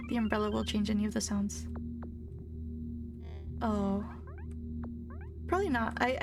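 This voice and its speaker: A young woman streamer in college chatting casually while playing a game, soft-spoken and fairly monotone. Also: fairly high pitch. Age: young adult. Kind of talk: casual live-stream chat while gaming. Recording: game music playing behind. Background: game music.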